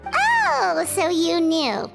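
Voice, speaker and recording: A woman voicing a tiny, excitable childlike companion with a squeaky voice in a scripted English game dub. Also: drawn-out words, gravelly, over music, heavily acted. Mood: hesitant, awkward. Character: tiny chirpy floating companion, childlike, eager and outspoken.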